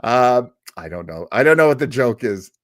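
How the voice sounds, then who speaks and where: A man with a very lively, swooping delivery and a medium pitch, recorded close and dry. He talks as a livestream host introducing a show, casual and rambling, wandering off on tangents and poking fun at himself. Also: moderate pace, dry recording. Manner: self-deprecating, joking, digressive. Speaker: host of a live online radio drama listening party.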